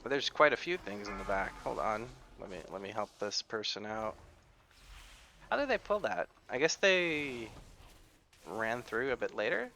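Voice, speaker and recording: A man's voice: fairly low pitch, lively intonation, normal speed, sharp tone, slight background noise. A man with an American accent giving chatty, rambling gameplay commentary and often correcting himself. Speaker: gaming YouTuber.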